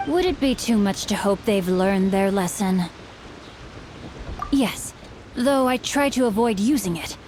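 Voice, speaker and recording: A woman's voice: mid-range voice, expressive, a little slow, long pauses, a little mellow, a little hiss or hum, natural voice. A woman voicing a bookish, chivalrous teenage boy swordsman in a video game, speaking in a formal, eloquent, earnest way. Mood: composed. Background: game music.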